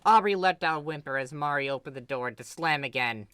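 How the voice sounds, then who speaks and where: Bright-voiced woman reading a story dramatically. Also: low voice, a little fast, clear, resonant tone, no room sound. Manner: expressive, dramatic. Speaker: story reader. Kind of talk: dramatic scripted narration of fan fiction.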